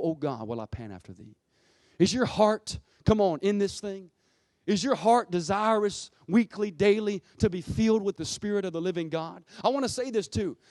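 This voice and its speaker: High-pitched male preacher, emphatic. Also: a little mellow, dry recording, studio quality. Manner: emphatic, repeats phrases for effect; exhorting, punctuated with rhetorical questions. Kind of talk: impassioned Pentecostal sermon.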